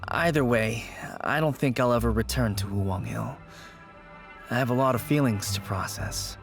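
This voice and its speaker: Game casting: actor as an earnest young man, weary with grief, mid-pitched everyday voice. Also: normal speed, faint music behind, lightly acted. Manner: earnest, quietly sad. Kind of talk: video game voice acting.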